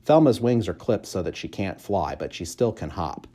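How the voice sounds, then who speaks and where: A man reading a children's story aloud in expressive, measured, clearly phrased narration. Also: low voice. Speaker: audiobook reader, school staff member.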